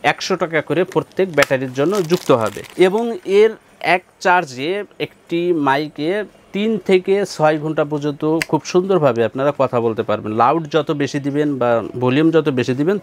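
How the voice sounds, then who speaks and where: A man's voice: on the high side, slight background noise. A Bangladeshi man with a Bengali accent, a shop salesman giving a chatty product demonstration in an electronics shop.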